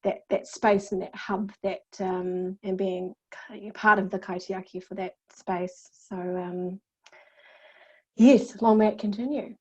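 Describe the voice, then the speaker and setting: A woman's voice: mid-range voice, steady tone, takes it slowly, slightly rough. New woman on a muffled lo-fi video call, hesitant, lots of ums. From New Zealand. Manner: hesitant, with many ums and uhs. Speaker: theatre manager. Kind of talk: casual self-introduction on a video call.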